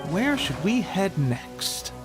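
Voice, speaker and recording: A man's voice: sits high, very animated, takes it slowly, loud music behind the voice, exaggerated voice. A man playing an eager, self-important young resistance soldier in a video game, with a competitive, boastful manner. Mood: cocky, upbeat. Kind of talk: scripted video game character acting.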